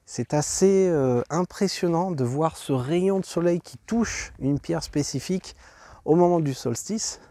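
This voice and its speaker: A man's voice: a little high, a little slow, warm tone, dry recording. A man hosting a travel vlog, stuffed up from a cold, rambling with many fillers and restarts as he tours a site outdoors. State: congested from a cold. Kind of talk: walking tour commentary.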